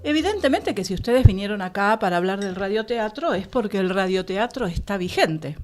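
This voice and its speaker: A woman's voice: mid-range voice, lively intonation, brisk, a little mellow, faint music behind. A woman with an Argentine accent co-hosting a casual, conversational radio show in a studio. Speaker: radio co-host.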